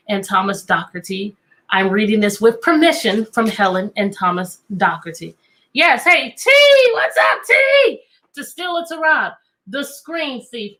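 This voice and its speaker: High-pitched, bright-voiced woman reading to kids. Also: low-quality recording. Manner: animated, coaxing, repeats questions to draw answers. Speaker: teacher reading a picture book aloud. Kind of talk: interactive children's read-aloud.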